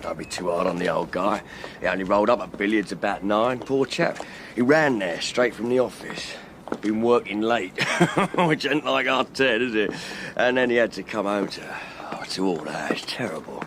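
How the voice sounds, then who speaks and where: An actor playing a chummy, awkward, gossipy pal in a radio drama, hesitant and informal. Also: male voice, lowish voice, lively intonation, moderate pace, natural voice. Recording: noisy, with background ambience.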